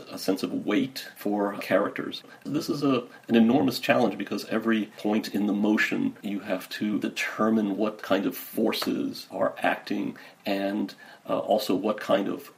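A man's voice: on the low side, vocal fry, slight background noise. A man answering interview questions about animation in a hesitant way, often restarting his sentences. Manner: hesitant, with repeated starts.

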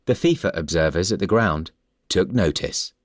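A man reading a scripted story as narrator, in a measured storytelling manner. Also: low-pitched, expressive, no echo, clean studio sound.

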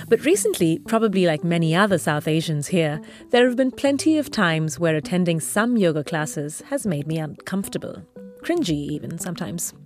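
Woman, podcast host, scripted narration, lowish voice, soft music behind.

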